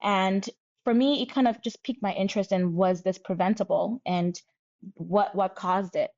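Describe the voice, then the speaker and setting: A woman's voice: mid-range voice, steady tone, moderate pace, a little mellow, highs cut off. A woman in her thirties, originally from Nigeria, talking as a guest physician in an interview, thoughtful and candid. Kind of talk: conversational interview.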